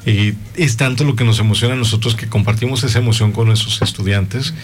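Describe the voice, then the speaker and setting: A man hosting a live university radio talk show, chatty and expressive, speaking in a radio studio. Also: lowish voice, fast, slight background noise. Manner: warm, chatty, expressive, lively intonation. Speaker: radio host.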